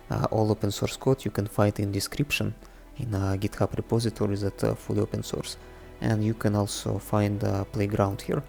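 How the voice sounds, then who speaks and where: A low-voiced man talks through a casual screen-share coding tutorial. His English is non-native and halting, with plenty of gravel in the voice, over faint music. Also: dark tone. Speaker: presenter of a programming tutorial series. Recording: faint background music under the voice.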